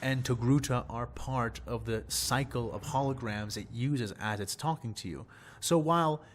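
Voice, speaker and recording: A young man, slightly hoarse, explaining fictional lore in a rambling, casual way and repeating words for emphasis. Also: medium pitch, a little hiss or hum. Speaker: channel host.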